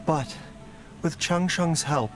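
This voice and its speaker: Calm, courteous doctor, lighter voice. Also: male voice, a little high. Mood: calm, reassuring. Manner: measured, formal, explanatory. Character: calm, courteous, learned young male doctor. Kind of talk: video game voice acting. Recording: game audio with music behind.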